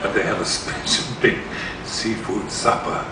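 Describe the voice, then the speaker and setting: Man doing a low, sultry woman. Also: steady tone, a little slow. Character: sultry, suggestive married woman shopkeeper. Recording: echoey theatre, distant camera mic, loud noise.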